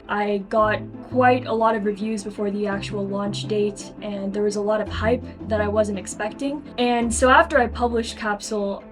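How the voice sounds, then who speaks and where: Young female author in interview, mid-pitched voice, conversational storytelling, music underneath. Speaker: author and YouTuber being interviewed.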